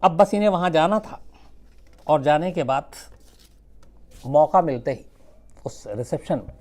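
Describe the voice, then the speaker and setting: A man telling a true-crime story without a script, conversational and suspenseful, with dramatic pauses. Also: on the high side, expressive, warm tone.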